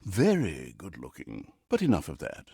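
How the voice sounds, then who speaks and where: A man's voice: deep voice, wildly swooping pitch, normal speed, gravelly, warm tone, slightly rough, no room sound, studio quality, heavily acted. A man playing a wry, pompous storyteller narrator in a fantasy game, with grandiose, sarcastic delivery. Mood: sly, smug. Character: wry, pompous male storyteller narrator mocking villains and heroes. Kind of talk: theatrical comic storybook narration.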